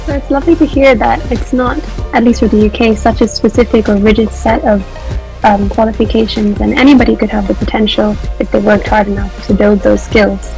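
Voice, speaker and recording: A woman's voice: mid-range voice, steady tone, fairly quick, gravelly, darkish tone, soft background music, rough recording. A young woman, a student interviewer, politely reading prepared questions. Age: young adult. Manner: polite, formal.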